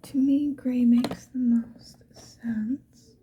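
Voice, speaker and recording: A woman speaking mostly in a breathy whisper, chatting casually to the viewer in an ASMR get-ready style. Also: a little high, steady tone, extremely slow, warm tone, highs cut off, slight background noise. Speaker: ASMR video creator.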